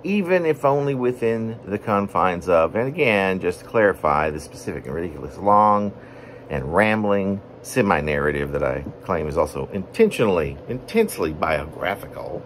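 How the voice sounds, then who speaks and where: A man reading his own poetry as a rambling, wordy monologue, deliberate and pausing mid-phrase. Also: low voice, expressive, slight background noise. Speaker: poet reading own work.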